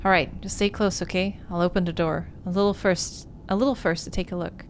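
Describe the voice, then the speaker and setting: A woman with a slightly low voice streams a visual novel playthrough. She speaks like an expressive storyteller, stumbling a little as she reads. Some background noise and ambience sits behind her. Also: brisk. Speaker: game streamer. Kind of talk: livestream playthrough, reading visual novel narration aloud.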